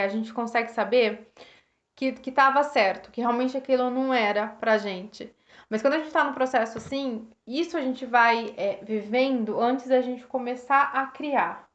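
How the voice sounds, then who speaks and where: Brazilian woman going live, high voice, kinda hoarse, lots of restarts, roomy muffled sound. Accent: Brazilian Portuguese. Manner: rambling with many restarts, thanks viewers by name. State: slightly hoarse from a cold. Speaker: host reading and reflecting on a self-help book. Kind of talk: casual live-stream reflection. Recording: roomy, dull lo-fi live stream.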